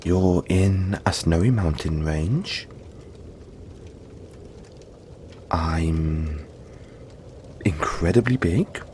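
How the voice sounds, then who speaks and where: Man voicing a deep, slow, cuddly yeti. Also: darkish tone, slight background noise. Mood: playful, proud. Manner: halting, soothing, chatty, playful. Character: big cuddly male yeti monster, friendly, apologetic, gentle. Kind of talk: audio roleplay addressed to the listener.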